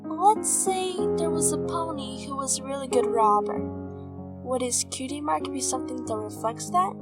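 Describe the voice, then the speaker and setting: A woman's voice: high voice, normal speed, loud music behind the voice, lightly acted. A woman voicing an earnest, anxious little girl pony in a cartoon fan audio drama, hesitant but eager. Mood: hesitant, curious.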